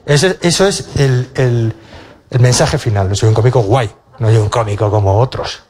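A man's voice: mid-range voice, a little mellow. Male comic, 40s, panel guest, hesitant and wry, handheld mic on stage. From Castilla-La Mancha, Spain. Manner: halting, many restarts and fillers, wry self-mocking. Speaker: comedian on a panel. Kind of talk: panel discussion. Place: stage of a cultural centre auditorium.

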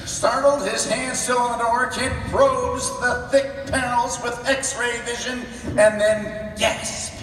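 A man acts out a grand radio serial announcer. His high voice moves slowly and with suspense. Faint music and a big hall echo surround it. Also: steady tone. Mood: suspenseful. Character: dramatic, urgent radio serial narrator. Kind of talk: scripted old-time radio narration. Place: on stage in a large convention hall. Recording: echoey convention hall from far off.

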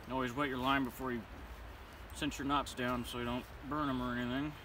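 A man's voice: mid-range voice, fairly quick. A young man explaining step by step how he ties his fishing rig on a riverbank, in a laid-back, plainspoken and slangy way. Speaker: fishing vlogger. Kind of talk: casual how-to demonstration. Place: riverbank outdoors. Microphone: camera a few feet away. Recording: outdoor camera audio from a distance, steady background noise.

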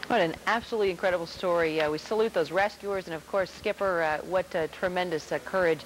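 A woman in her thirties anchoring live news from a newsroom studio, crisp when scripted, halting in ad-libs. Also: on the low side, a little hiss or hum, poor audio.

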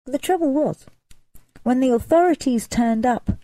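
Mellow-voiced woman telling a folk tale. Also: sits high, normal speed, no echo, low-quality recording. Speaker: storyteller. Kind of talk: dramatic oral folk tale narration.

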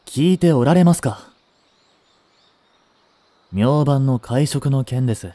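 A man acting a cool, haughty butler, slow and measured. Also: medium pitch, mellow, no echo, lightly acted. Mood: concerned, formal. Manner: formal, polite, clipped, turning contemptuous toward a rival. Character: cool, devoted young male butler, haughty and jealous. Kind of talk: Japanese voice drama acting.

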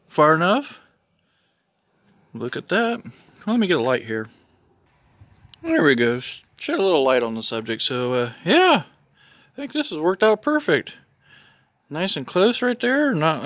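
A man's voice: sits high, fairly bright tone. A man filming a casual walk-through of his garage on a handheld phone, talking in a folksy, rambling way with homespun phrases. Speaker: YouTube vlogger. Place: garage and home interior. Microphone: handheld phone camera, filming himself.

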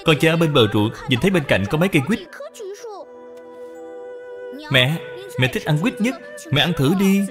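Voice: mid-range voice, animated, fast talker, music in the background, lightly acted. An actor voicing a polite, eager six-year-old boy, respectful and childlike, in a cartoon. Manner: respectful, bright-eyed, childlike.

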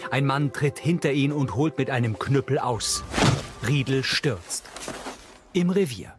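Male narrator, mid-pitched, neutral, matter-of-fact, scripted scene description, faint music. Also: a little slow.

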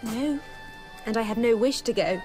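Female actor young daughter, higher pitch, gentle, anxious, deferential, radio drama. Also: moderate pace, music in the background, close to an everyday voice. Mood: timid.

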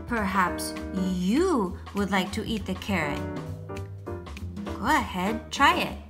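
A woman voicing a boastful, sweet little French snail in a picture book, theatrical and deliberate, talking straight to a child. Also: medium pitch, lively intonation, music in the background. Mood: coaxing. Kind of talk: picture book read-aloud.

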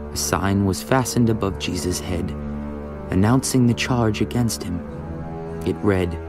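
A man narrating scripture from a script in a measured, dramatic storytelling manner. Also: on the low side. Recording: music behind the voice.

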